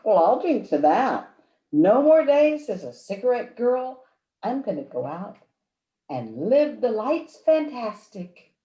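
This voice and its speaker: A woman's voice: fairly high pitch, sing-song, slow pace, dark tone, dull audio. A woman playing a cheeky, folksy cigarette girl in a campy noir radio play, playful and theatrical. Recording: roomy live stage sound.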